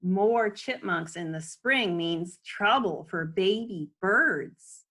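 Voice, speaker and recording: Woman, children's read-aloud, slow pace, mid pitch, casual explanatory delivery. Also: expressive, pushed and bright. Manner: unhurried, explanatory, chuckling asides. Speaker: author reading own book aloud. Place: at home.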